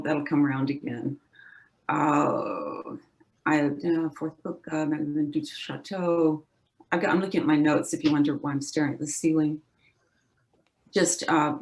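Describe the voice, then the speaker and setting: Halting woman author with many uhs. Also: sits low, darkish tone. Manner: halting, with frequent uhs and restarts. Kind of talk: casual presentation at an online library event.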